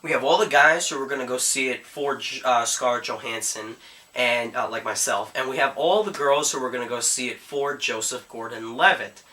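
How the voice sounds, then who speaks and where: A man's voice: medium pitch, moderate pace, sharp tone. Chatty young man with a cold. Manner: chatty, frequent "guys" and "uh" fillers. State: getting over a cold. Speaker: movie reviewer. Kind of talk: casual vlog review.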